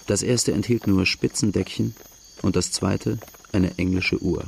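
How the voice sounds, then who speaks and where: A man's voice: sits low, steady tone, a little slow, gravelly, a little mellow, slight background noise, exaggerated voice. An actor narrating a radio drama in the first person as a plain-spoken outlaw looking back on his boyhood. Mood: reflective.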